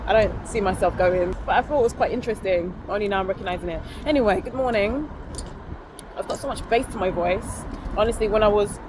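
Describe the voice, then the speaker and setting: A woman's voice: medium pitch, brisk. A woman chatting candidly to her phone while walking outdoors, rambling and correcting herself with small laughs. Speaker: vlogger. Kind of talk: casual vlog chat to a phone while walking. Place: outdoors on a city street. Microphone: phone held up to her face. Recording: outdoor phone recording with street noise. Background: traffic and street ambience.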